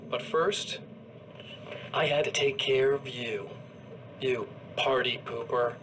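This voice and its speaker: Gloating evil twin from a horror game,, mid-pitched, taunting and slow. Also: male voice, steady tone, a bit bright, slight background noise, low-quality recording. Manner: taunting, drawn-out and mocking. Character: gleeful, menacing evil doppelganger man. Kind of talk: video game cutscene monologue.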